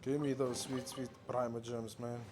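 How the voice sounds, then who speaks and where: A man's voice: medium pitch, little pitch movement, moderate pace, noisy background. A young man giving casual, jokey, slangy commentary as he plays a video game. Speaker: gamer commenting on gameplay.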